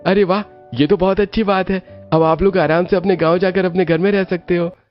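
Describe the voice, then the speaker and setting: A man's voice: high voice, steady tone, fairly quick, lo-fi sound, soft background music, pitched above the performer's own voice, close to an everyday voice. A man voicing a helpful, lovestruck young man in a cartoon story. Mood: friendly.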